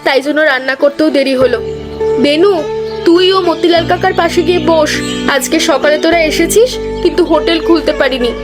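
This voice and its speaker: A woman playing an earnest, expressive young village food-stall keeper in a cartoon. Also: high-pitched, brisk, lightly acted. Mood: busy, friendly. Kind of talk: cartoon voice acting. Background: background music.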